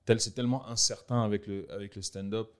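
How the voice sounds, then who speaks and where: A man's voice: lowish voice, steady tone, fast talker, clear, resonant tone. A comedian guest speaking with a French accent from France, slangy and laid-back, laughing often as he talks. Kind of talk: casual conversation.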